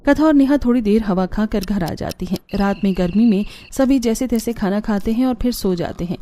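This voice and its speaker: A woman's voice: medium pitch, a little fast, warm tone. A woman reading a scripted moral story as its narrator. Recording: music behind the voice. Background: background music.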